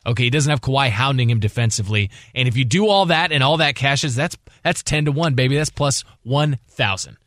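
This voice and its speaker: A man's voice: mid-range voice, fairly quick, hardly any pauses, fairly bright tone, dry recording, studio quality. A man co-hosting sports talk radio, bantering casually with jokey asides and repeated phrases.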